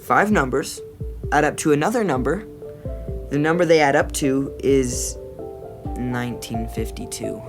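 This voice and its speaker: A male actor plays an upbeat, nerdy boy in an audio drama. He talks casually in a boyish, medium-pitched voice, with music clearly playing underneath. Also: measured pace, long pauses, close to an everyday voice. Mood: eager. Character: upbeat, nerdy, good-natured young brother.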